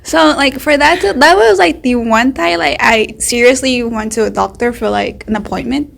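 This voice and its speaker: A young woman chatting casually as a student interviewee, in halting, filler-heavy phrases. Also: a little high, gravelly, a bit bright, a little hiss or hum. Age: young adult. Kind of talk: casual chat.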